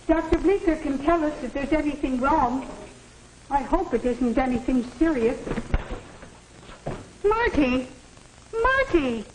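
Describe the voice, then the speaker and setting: A fretful middle-aged mother in a 1950s educational film. Her voice is high and very expressive, anxious and plaintive as she fusses. The old soundtrack carries some noise. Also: unhurried, long pauses. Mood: anxious, fretting. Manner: anxious, plaintive, scolding gently. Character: worried, fussing middle-aged mother of a teenage boy.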